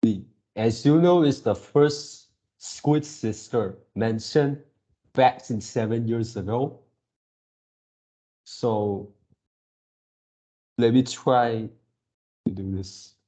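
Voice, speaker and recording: A man in his early twenties talking casually to viewers as a channel host, in a halting way, often repeating and rephrasing himself. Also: mid-range voice, mellow, poor audio. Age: early 20s. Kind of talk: casual reaction video talk to viewers.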